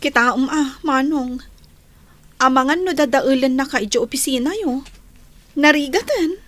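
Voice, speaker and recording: High-voiced, earnest younger sister. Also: female voice, normal speed, fairly bright tone. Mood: concerned. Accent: Ilocano. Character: worried, loyal younger sister. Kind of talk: Ilocano radio drama acting.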